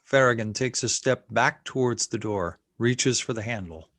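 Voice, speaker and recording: A man's voice: mid-range voice, normal speed, fairly bright tone, dry recording, studio quality. A man reading screenplay action and scene headings as the narrator of a table read. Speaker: narrator reading stage directions.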